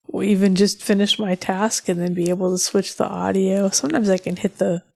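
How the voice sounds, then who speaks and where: A woman's voice: mid-range voice, steady tone, fairly quick, creaky, warm tone. A woman co-hosting a casual tech chat, speaking in a conversational, mildly exasperated way. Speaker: podcast co-host.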